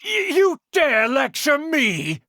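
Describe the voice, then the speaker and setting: An actor playing a haughty, indignant older aristocratic uncle in a video game, speaking in a formal, imperious and pompous manner. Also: male voice, very high-pitched, wildly swooping pitch, slow, sharp tone, no echo, studio quality, heavily acted. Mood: outraged. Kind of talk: dramatic video game voice acting.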